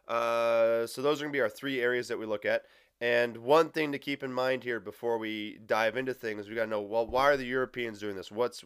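A man giving a casual history lecture, full of uhs and restarts, his voice a bit nasal and raspy from allergies. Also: on the low side, fairly bright tone, dry recording, studio quality. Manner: filler-heavy, casual, frequent uhs and restarts. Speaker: history teacher. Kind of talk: recorded lecture.

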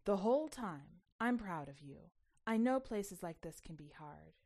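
A woman's voice: medium pitch, expressive, a little slow, darkish tone. A woman voicing a well-meaning, coaxing mother who instructs and cajoles her child in a story reading. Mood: delighted.

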